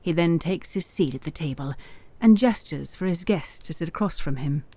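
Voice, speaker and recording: A woman's voice: deep voice, lively intonation, moderate pace, dark tone, dry recording. A woman narrator reading scripted story narration for a fantasy audio drama.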